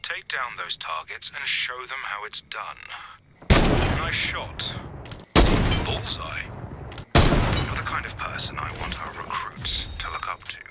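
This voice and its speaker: A brisk male resistance commander briefing a mission in a video game. His low voice moves energetically between terse tactical orders and encouragement, under clear background noise.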